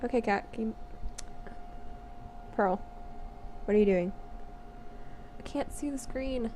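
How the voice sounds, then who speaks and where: A woman of nineteen reading a visual novel script aloud, playful and chatty with casual asides. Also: a little high. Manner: giggly, playful, easily sidetracked. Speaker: visual novel let's player. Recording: noisy home recording.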